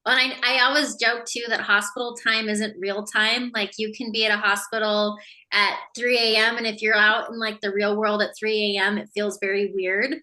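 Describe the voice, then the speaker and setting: Woman, podcast co-host, casual chat, easygoing, bright voice. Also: mid-range voice, moderate pace. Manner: relaxed, laughs easily.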